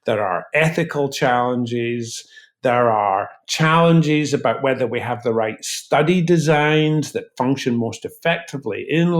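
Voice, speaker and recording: A man speaking as an expert interview guest, explaining in emphatic, articulate, measured phrases. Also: fairly high pitch. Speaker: physician researcher, interview guest.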